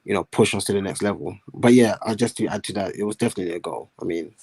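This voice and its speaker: A man with a cold and a hoarse voice, giving a thoughtful, measured take on a football match over a remote call. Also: fairly low pitch, a little mellow, dry recording. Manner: thoughtful, measured analysis with fillers. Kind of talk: casual live-stream chat.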